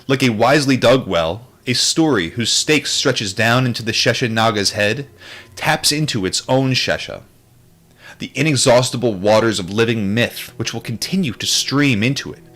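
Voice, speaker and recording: A man's voice: medium pitch, animated, moderate pace, a bit bright. A man reading aloud from a book in an informal way, sometimes adding casual commentary and stumbling over difficult terms. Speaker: book reader, streamer.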